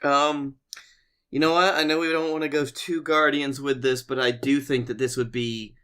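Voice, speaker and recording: A man's voice: mid-range voice, level tone, normal speed, fairly bright tone, clean tone. Guy with a mid voice riffing on a comedy podcast, wry and jokey. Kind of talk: casual riffing chat.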